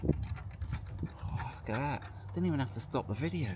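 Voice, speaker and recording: A man's voice: mid-range voice, animated, normal speed. A man giving a casual hands-on product demonstration, chatting and thinking aloud as he works. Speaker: product presenter. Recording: clear background noise.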